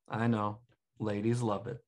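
A man's voice: lowish voice, flat delivery, slow pace, darkish tone. A man playing an insightful, cocky, supportive best friend in a sitcom table read. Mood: smug. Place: home room on a video call.

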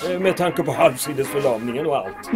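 An actor playing a droll, politely needling town dignitary in a comedic audio drama. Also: male voice, mid-range voice, lively intonation, over music, natural voice. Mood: drily polite.

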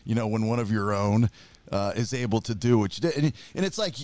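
A man in his forties hosting a casual interview podcast from a home studio, talking with animated enthusiasm and rambling a bit. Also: lowish voice, brisk, no echo. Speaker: podcast host. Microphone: studio mic with headphones.

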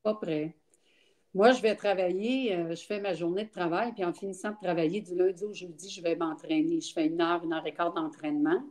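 A woman's voice: fairly low pitch, steady tone, a little fast, darkish tone. An older woman with a Quebec French accent, speaking frankly on a video call, with many fillers. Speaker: interview guest. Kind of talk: casual testimony. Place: home room, indoors. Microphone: laptop webcam on video call.

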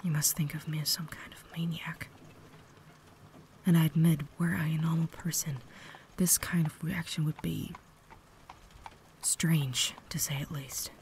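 A woman's voice: deep voice, fairly even intonation, measured pace, long pauses, slight background noise. A woman playing a cultured, caring vampire lady in a refined, whispered ASMR roleplay, holding herself back. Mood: apologetic, gentle. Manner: refined, formal, soft-spoken whisper.

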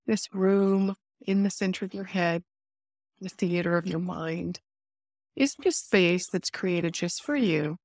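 A woman leading a guided meditation, giving soothing, unhurried instructions with pauses between phrases. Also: fairly low pitch, no room sound, studio quality.

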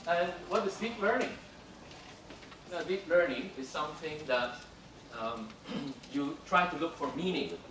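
A man giving an academic lecture, halting and full of fillers, switching between English and Cantonese. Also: a little high, expressive, a bit bright. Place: lecture room. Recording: echoey room, distant, noisy.

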